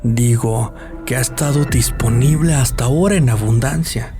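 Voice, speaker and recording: A man's voice: medium pitch, normal speed, natural voice. A man playing a sullen, weary young male nurse with a sardonic edge in an audio drama. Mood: pointed, challenging. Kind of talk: audio drama character acting. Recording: music behind the voice.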